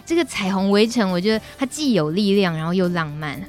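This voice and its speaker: A female radio host with a Taiwanese Mandarin accent speaks in a middle register. She leads a conversational discussion and often turns to her co-host, with quiet music underneath. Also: mid-range voice, expressive, brisk. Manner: guides the talk, checking in with co-host. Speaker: radio talk show host. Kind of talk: conversational commentary.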